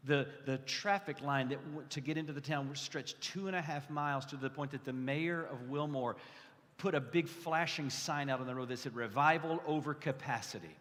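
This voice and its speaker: A man preaching a testimonial sermon to a gathering, speaking earnestly and conversationally, with halting restarts and repeated phrases. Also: medium pitch, fairly bright tone. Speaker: guest preacher. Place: large indoor hall, addressing a gathering. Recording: echoey hall with long reverb.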